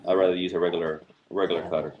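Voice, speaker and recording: A man's voice: sits low, little pitch movement, very fast, lo-fi sound, background noise. A man chatting casually as a guest on a livestream.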